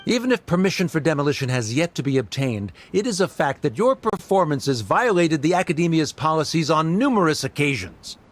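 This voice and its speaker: An actor playing a haughty, coldly rational scholar in a video game, speaking formally and condescendingly. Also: male voice, a little high, big pitch swings, a little fast, hardly any pauses. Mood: stern, official. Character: haughty male scholar accuser, cold and coolly rational. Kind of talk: scripted video game dialogue.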